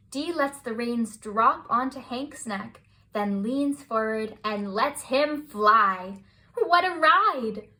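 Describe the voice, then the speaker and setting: A woman reading a children's picture book aloud as scripted bedtime narration, with expressive, deliberate phrasing. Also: high voice. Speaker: children's storybook reader.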